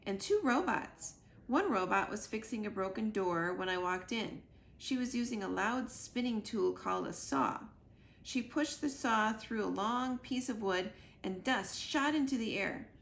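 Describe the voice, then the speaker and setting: A woman's voice: a little high, vocal fry, a little hiss or hum. A woman reading a children's book aloud as a young goose earnestly telling his mother about his adventures.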